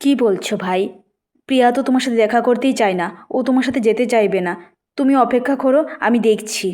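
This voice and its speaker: Woman as mild young sister-in-law, highish voice. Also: quick pace, mellow, lightly acted. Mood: teasing. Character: polite, lightly teasing young sister-in-law.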